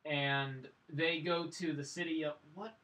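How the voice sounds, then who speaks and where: Excitable guy rambling through a movie recap, really bright forceful voice, echoey and off the mic. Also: fairly high pitch, unhurried. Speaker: podcast co-host reviewing films. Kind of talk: casual chat, film recap. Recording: echoey room, distant microphone.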